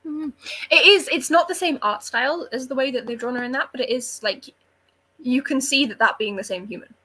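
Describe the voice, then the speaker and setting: A woman's voice: sits high, brisk, pushed and bright. A woman chatting casually and animatedly about books as a panellist, opinionated and informal. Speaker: book club readalong panellist. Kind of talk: casual book discussion chat.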